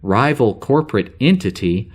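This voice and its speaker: A flustered spaceship security officer, acted by a man, dictates his log in a middling male pitch. He rambles and hesitates, unsure of himself, in the natural style of a sci-fi audio drama. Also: expressive, slightly rough. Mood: exasperated. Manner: halting, rambling, full of hesitations and sighs. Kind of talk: audio drama, informal spoken log entry.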